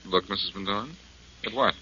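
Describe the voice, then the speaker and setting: An actor playing a cool, crafty amateur detective in a 1940s radio drama. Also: male voice, sits low, moderate pace, bright, forceful tone, slightly rough, exaggerated voice. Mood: feigned disbelief. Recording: dull, noisy vintage radio recording.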